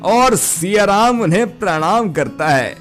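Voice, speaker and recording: A man reading scripted narration for an animated moral story as its storyteller. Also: high-pitched, a little slow, faint music behind.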